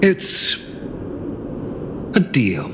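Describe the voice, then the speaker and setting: A man's voice: very slow, noisy background. A man playing an earnest, resolute young soldier hero in a fantasy game, speaking solemnly. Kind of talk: dramatic fantasy game voice acting. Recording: long reverb, music behind.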